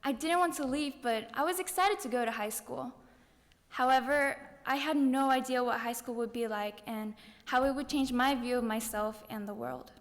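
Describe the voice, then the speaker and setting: A young woman speaks in a high voice that rings through a big hall with lingering reverb. She delivers a rehearsed personal speech as a student contestant. Her delivery is earnest, with weight placed on key words. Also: normal speed. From Japan. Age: young adult.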